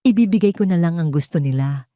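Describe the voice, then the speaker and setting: Woman playing emotional, wounded wife, mellow voice. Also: lowish voice, lively intonation, fast talker, no echo, studio quality, lightly acted. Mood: defeated despair. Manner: emotional, trembling defiance. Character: proud, wounded wife protecting her family, desperate.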